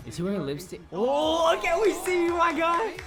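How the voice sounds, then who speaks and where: A man's voice: extremely high pitch, wildly swooping pitch, normal speed, over music. A young man hosting a reaction video and throwing in joking, slangy asides from a small room at home. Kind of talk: casual reaction commentary.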